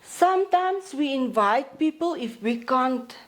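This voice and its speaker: A South African woman in her forties, a counselor talking as a podcast guest in a steady, matter-of-fact way with frequent pauses. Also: sits high. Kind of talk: casual interview talk.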